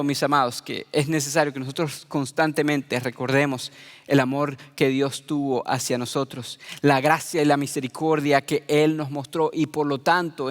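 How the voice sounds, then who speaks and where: Earnest high-voiced pastor in reverberant hall. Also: male voice, a bit bright. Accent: Venezuelan Spanish, light. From Venezuela. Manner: earnest, repeats phrases for emphasis. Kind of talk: sermon.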